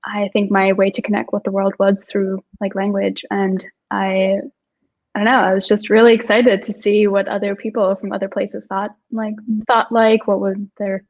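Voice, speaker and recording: Young woman from austria on a video call, kinda flat tone, muffled lo-fi audio, lots of "like". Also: medium pitch, normal speed. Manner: chatty, many "like" fillers, laughs often. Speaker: startup co-founder, guest. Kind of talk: casual interview chat.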